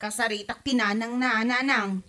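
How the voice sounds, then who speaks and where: A woman's voice: on the high side, steady tone, moderate pace, sharp tone. A woman with an Ilocano accent playing a young, defensive daughter who pleads and protests in a comedy drama. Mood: pleading, defensive.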